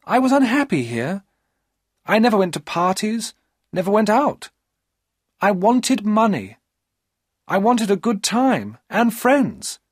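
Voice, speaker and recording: A man's voice: high voice, sing-song, slow pace, pauses often, slightly rough, dry recording, clean studio sound, pitched above the performer's own voice, full character voice. A man voicing a vain, cold young woman in a storybook reading, pleading and scornful by turns. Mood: complaining.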